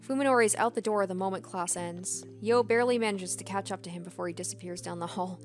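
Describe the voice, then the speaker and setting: Woman, let's player, expressive story reading, casual commentary, medium pitch, faint game music behind. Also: fast talker. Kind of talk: reading visual novel aloud with casual reactions. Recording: background music under the voice.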